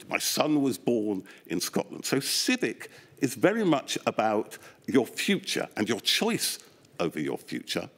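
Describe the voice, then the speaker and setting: A man giving expert testimony at a public inquiry, explaining at length in an animated, discursive way with wry asides. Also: medium pitch. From England. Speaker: expert witness, academic psychologist. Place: public inquiry hearing room.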